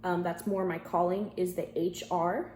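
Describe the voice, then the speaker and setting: A woman's voice: on the low side, flat delivery, moderate pace, darkish tone. A woman interviewing for a job by phone, talking informally and somewhat hesitantly as she rephrases her answers. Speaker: job candidate. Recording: echoey room, faint background noise.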